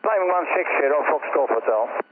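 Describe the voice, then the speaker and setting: A man's voice: a little high, animated, brisk. A man flying as a pilot, making terse readbacks over aviation radio. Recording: narrow crackly aircraft radio, clear noise.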